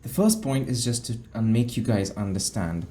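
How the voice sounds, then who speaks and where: Young man, speech coach, low voice, warm dark tone, emphatic demonstrations. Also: normal speed. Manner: demonstrates tones, shifts loudness for emphasis. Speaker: speaking coach. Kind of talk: instructional video talk.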